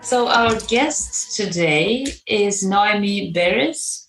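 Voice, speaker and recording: A woman hosting a podcast, lively and quick to laugh as she chats with her guest. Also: mid-range voice, reverberant, faint music behind, low-quality recording. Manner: lively, laughs, acts out her own reactions. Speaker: podcast host. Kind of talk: scripted intro then casual interview talk.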